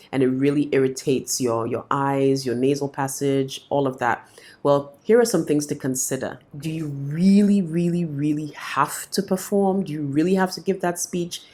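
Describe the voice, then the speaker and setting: A woman with an unusually deep, low voice explains practical tips as a vocal coach. She has a cold, yet keeps her delivery friendly and clear. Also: darkish tone. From Ghana. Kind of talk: instructional talk to camera.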